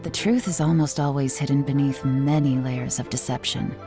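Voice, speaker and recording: Low-voiced, cool female spymaster. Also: fairly even intonation, music in the background. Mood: composed. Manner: measured, confident, instructive. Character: cool, shrewd female intelligence chief, young adult, commanding. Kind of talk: video game character voice acting.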